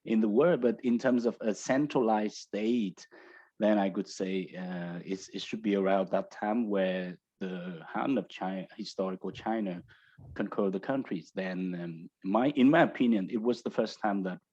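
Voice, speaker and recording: A man with a low voice and a Vietnamese accent gives explanatory answers as an academic guest. His delivery is hesitant, with halting phrases. The audio is somewhat dull and lo-fi. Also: darkish tone. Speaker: guest researcher.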